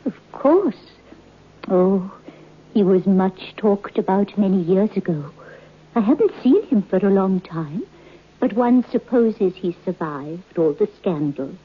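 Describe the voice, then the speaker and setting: An actress playing a tiny, shrewd, knowing seamstress who tells old gossip as a deliberate, dramatic radio storyteller. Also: female voice, medium pitch, animated, breathy edge. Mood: gossipy, grave. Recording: tinny old radio recording.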